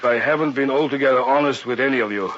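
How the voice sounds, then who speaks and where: An actor playing a secretive, authoritative doctor in an old-time radio drama, on a muffled, hissy recording. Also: male voice, mid-range voice, fast, natural voice. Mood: grave. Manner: formal, authoritative, theatrical. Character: secretive doctor, commanding host of an island weekend.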